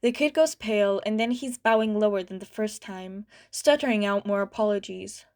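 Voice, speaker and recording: A woman reading fan fiction aloud as a podfic, narrating in a light, steady way. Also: medium pitch, normal speed. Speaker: podfic reader.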